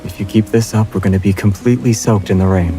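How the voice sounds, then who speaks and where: A man acting a calm, protective young man with understated, gently teasing deadpan delivery in a romantic audio drama. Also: on the low side, fast, music in the background, natural voice. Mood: dryly teasing.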